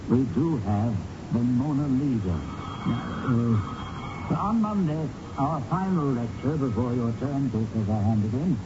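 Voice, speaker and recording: An actor playing an authoritative, scholarly male professor in a radio drama, speaking formally and deliberately. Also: medium pitch, natural voice. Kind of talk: radio drama acting, lecturing. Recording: muffled, noisy old radio recording.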